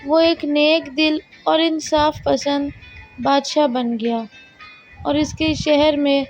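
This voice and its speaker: A woman tells a scripted children's story in a high voice. Her delivery is unhurried, and the slightly dull recording has music underneath. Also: flat delivery. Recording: slightly dull, lo-fi narration track over music. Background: soft background music.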